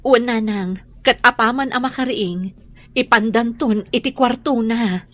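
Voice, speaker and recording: A woman's voice: a little high, unhurried, a bit bright. A woman playing a worried, devoted mother and dutiful daughter in an Ilocano radio drama, speaking with earnest concern. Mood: anxious. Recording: noisy radio drama recording.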